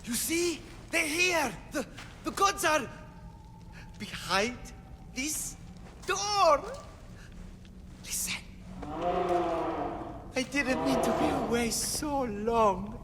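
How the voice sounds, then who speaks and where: A man's voice: very high-pitched, lively intonation, drawn-out words, pauses often, long reverb tail, background noise, full character voice. An actor playing an eccentric, grandiose man who proclaims himself a god, in a game cutscene. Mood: manic. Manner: grandiose, theatrical, manic. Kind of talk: video game cutscene acting. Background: orchestral game music.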